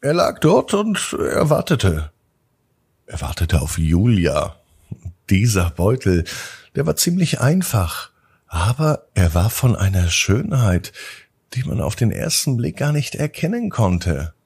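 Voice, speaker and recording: A man hosting a children's bedtime podcast, narrating in a gentle, drawn-out storytelling manner. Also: on the low side, huge pitch swings, slightly rough.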